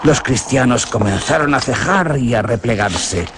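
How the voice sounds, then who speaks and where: Man narrating a spooky radio drama, very expressive, lots of hiss in the recording. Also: a little slow. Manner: theatrical, with big swings in pitch.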